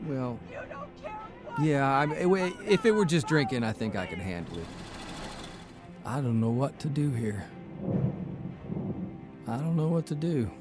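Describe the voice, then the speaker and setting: An actor playing a weary, brooding newspaper columnist on the road in a radio drama. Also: male voice, big pitch swings, measured pace, long pauses. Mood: troubled. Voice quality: gravelly, low. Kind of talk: radio drama narration and dialogue. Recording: music underneath.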